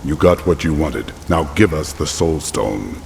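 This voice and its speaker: A man's voice: extremely low pitch, lively intonation, unhurried, gravelly, background noise, full character voice. An actor playing a determined male warrior hero in a video game, speaking firmly and commandingly. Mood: stern. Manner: firm, commanding. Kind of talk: video game cutscene acting. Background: game music.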